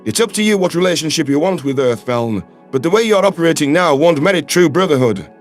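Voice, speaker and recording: A fairly high-voiced male astronaut, played by a man, loyal and frank. He talks in firm, plain sentences in a sci-fi audio drama, over faint music. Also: expressive, fast talker, natural voice. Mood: firm, earnest. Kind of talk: science fiction audio drama acting.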